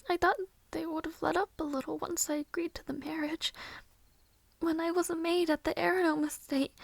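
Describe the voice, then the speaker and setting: A woman playing a weary, mistreated young maid in an audio roleplay, musing softly to herself in formal, breathy half-whispers. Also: high-pitched, no room sound, full character voice. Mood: sad, resigned. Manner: slow, soft, formal old-fashioned phrasing, musing to herself.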